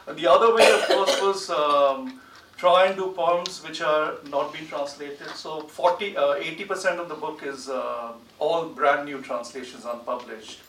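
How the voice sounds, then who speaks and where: A middle-aged man talks and reads as a poet on a panel. His voice carries a clear room echo and sits slightly away from the microphone, with faint noise behind it. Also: on the high side, measured pace. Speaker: poet, translator and book editor on a panel. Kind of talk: panel talk and poetry reading. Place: large indoor lecture theatre. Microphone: desk gooseneck microphone. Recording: roomy hall, distant mic, faint noise.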